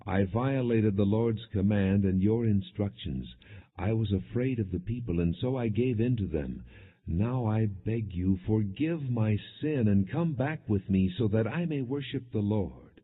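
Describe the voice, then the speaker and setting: Pleading biblical king for audiobook, read by a male narrator: low, husky, earnest, narrow-band sound. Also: measured pace. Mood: contrite, pleading. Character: defensive, pleading king of Israel, a grown man.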